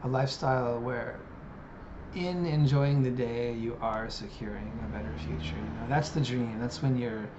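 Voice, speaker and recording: Man, late thirties, reflective vlogger, hesitant fillers, dull lo-fi sound with steady background noise. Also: mid-range voice, normal speed. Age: 30s. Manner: musing, hesitant, many fillers. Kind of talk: reflective personal monologue. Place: living in Japan.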